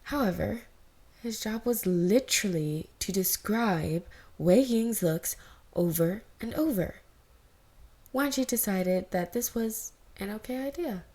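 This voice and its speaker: A woman's voice: medium pitch, lively intonation, takes it slowly, a little mellow. Woman reading out fan fiction, mid-range voice, amateur storytelling. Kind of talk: scripted story narration.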